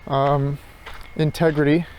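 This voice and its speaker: A man rambling casually and reflectively in a halting monologue as he walks outdoors, thinking aloud. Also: fairly high pitch, flat delivery, darkish tone, slight background noise, poor audio. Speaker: philosophy tutor vlogger. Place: outdoors, walking.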